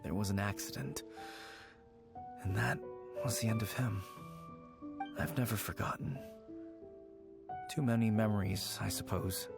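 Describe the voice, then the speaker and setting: A halting, anxious young man. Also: fairly low pitch, fairly even intonation, vocal fry. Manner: halting, worried, soft-spoken. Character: anxious young man haunted by a lost childhood friend. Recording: game audio with background music.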